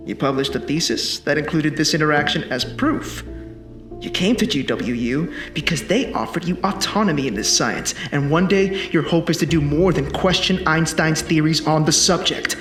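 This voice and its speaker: An actor playing a commanding, cocky time traveler in an action sci-fi film, terse and insistent. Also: male voice, a little high, expressive, moderate pace, close to an everyday voice. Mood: confident, knowing. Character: urgent male time-traveling protector from the future, commanding and cocky. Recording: roomy sound with music behind.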